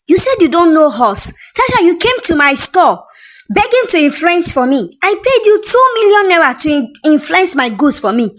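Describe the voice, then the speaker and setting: A Nigerian woman voicing a furious fashion brand owner who loudly scolds and accuses a cheat. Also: poor audio. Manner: loud, accusing, shrill scolding.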